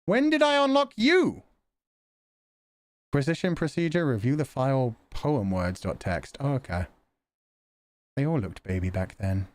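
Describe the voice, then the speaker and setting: A man's voice: mid-range voice, very animated, a little slow, pauses often, dry recording. A man livestreaming and chatting casually with viewers in a wry, sarcastic way, swearing now and then.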